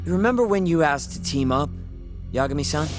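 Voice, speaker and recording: A man's voice: a little high, animated, brisk, over music, close to an everyday voice. An actor playing a cool, self-assured young male hacker in a naturalistic video game scene. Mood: confident. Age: young adult.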